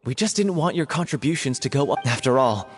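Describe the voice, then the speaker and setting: A man's voice: medium pitch, animated, a little fast, natural voice. A man playing an earnest, placating young stage presenter in a video game.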